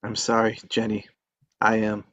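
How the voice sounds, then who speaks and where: An actor playing a gentle, devoted married man, sincere and apologetic but firm, in a table read. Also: lowish voice, monotone, measured pace, dry recording. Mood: tender, apologetic.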